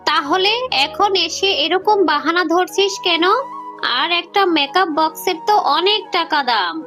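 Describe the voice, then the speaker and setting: Cartoon fox mother, played by a woman: poor, patient, firm; very high, bright voice. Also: exaggerated voice. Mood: scolding. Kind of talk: children's cartoon voice acting.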